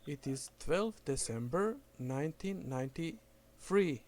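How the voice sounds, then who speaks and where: A man on a prank phone call, speaking slowly and deliberately, overly polite, acting confused. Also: a little high, expressive, a little mellow. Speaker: scambaiter posing as a caller.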